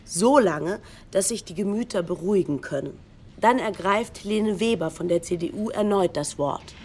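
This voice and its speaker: A woman's voice: mid-range voice, moderate pace, a little mellow, slight background noise, lightly acted. A woman playing a wry, needling narrator in a radio documentary drama, with an ironic, pointed way of talking. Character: wry, needling female narrator commenting on constitutional debates.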